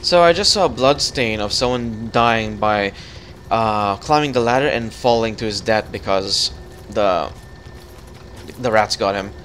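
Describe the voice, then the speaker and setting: A man's voice: fairly low pitch, a little slow. A young man hosting a casual co-op gaming session, talking in a loose, easygoing way. He hands out directions between small fillers and hesitations. A faint hiss of background noise sits under his voice. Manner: relaxed, guiding with fillers. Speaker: gaming channel host. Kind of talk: casual co-op gameplay chat.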